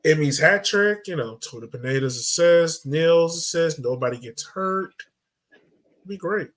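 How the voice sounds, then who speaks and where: A man's voice: on the high side, expressive, a little slow, fairly bright tone. A man hosting a casual sports podcast, talking informally and in slang to his co-hosts and listeners. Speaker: podcast host.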